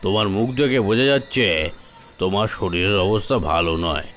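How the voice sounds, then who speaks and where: A man playing a concerned, fatherly old village headman in a horror audio story, measured and coaxing. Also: lowish voice, a bit bright, natural voice. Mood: concerned, soothing. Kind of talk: dramatised horror story dialogue.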